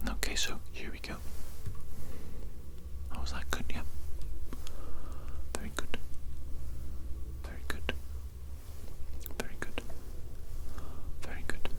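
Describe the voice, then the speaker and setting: A person whispering as a politely menacing evil doctor in an ASMR roleplay, speaking deliberately with soft, hesitant asides. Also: close to an everyday voice. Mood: clinical, coaxing.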